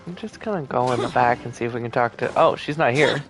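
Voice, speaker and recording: A man's voice: medium pitch, quick pace. Casual male game streamer, faint music behind. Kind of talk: casual playthrough commentary.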